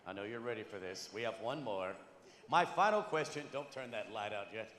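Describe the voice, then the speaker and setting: A man emceeing a conference in a large hall, lively and jokey. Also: on the low side, brisk, slight background noise. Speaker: event host. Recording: long hall reverb.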